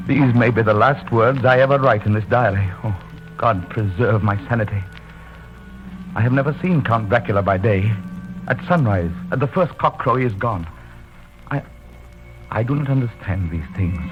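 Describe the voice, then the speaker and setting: A man's voice: medium pitch, close to an everyday voice. Guy acting scared young english prisoner telling his diary, old radio play, music behind, dull sound. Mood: grim dread. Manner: earnest, dramatic, haunted. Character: frightened young Englishman, captive, earnest diarist. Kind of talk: 1930s radio horror acting. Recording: muffled vintage radio recording.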